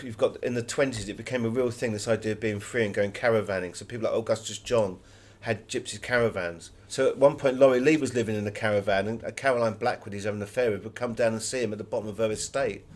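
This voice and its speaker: A man's voice: fairly low pitch, quick pace, few pauses, a little mellow. A British man speaking as an interviewed author, telling anecdotes in a wry, chatty and emphatic way.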